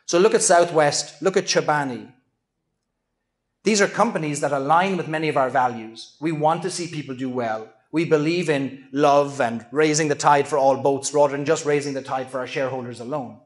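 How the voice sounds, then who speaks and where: Man giving a keynote in an echoey hall. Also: on the high side. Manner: earnest, measured, self-deprecating asides. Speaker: keynote speaker. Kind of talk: conference keynote presentation. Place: large event hall with an audience. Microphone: handheld microphone at a lectern.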